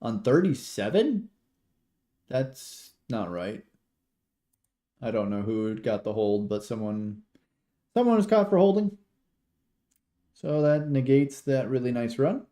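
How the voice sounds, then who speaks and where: American male amateur sports streamer, relaxed dark tone, mid pitch, rambling and informal between play calls. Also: takes it slowly. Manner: rambling, informal, sweary, talks sports betting slang. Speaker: amateur livestream football play-by-play commentator. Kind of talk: casual play-by-play commentary mixed with chat.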